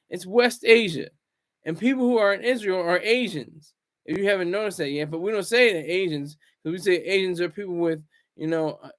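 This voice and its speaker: A man hosting a casual solo commentary vlog, rambling and restarting his words, swinging between loud bursts and low asides. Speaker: commentary channel host.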